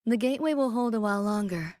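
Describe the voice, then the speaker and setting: A woman's voice: fairly high pitch, quick pace, darkish tone, no echo, clean studio sound, lightly acted. An actress playing a wistful, laid-back young woman with street slang, talking casually and in clipped phrases in a game cutscene. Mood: wistful, resigned. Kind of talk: video game cutscene dialogue.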